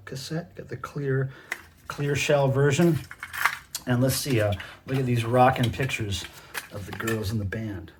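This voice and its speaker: A man's voice: medium pitch, a little mellow. A middle-aged man with an American accent talking casually and enthusiastically in a rambling show-and-tell as a collector hosting his own video. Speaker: cassette collector and video host. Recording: noisy home recording with clicks.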